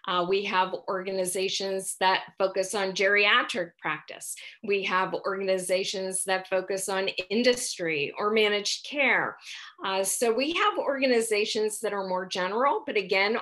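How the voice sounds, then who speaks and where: A woman moderating an online panel on a video call, speaking in a measured, formal and explanatory manner. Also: medium pitch, fairly bright tone.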